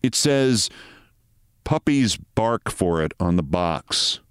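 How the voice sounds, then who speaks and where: A middle-aged daydreamer who speaks evenly and in an understated way, voiced by a man in his own register. The tone is smooth and clear, and the sound is dry and close. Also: on the low side, takes it slowly, no echo. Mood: calm. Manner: even, understated. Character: middle-aged daydreamer, calm, coolly heroic in fantasies.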